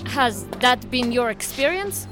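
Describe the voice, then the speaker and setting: Earnest, high-voiced female field agent. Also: lively intonation, measured pace, over music. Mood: guarded. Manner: formal, earnest. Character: earnest, dutiful female field agent, reluctant former commander. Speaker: actor in a science fiction audio drama. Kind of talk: audio drama acting.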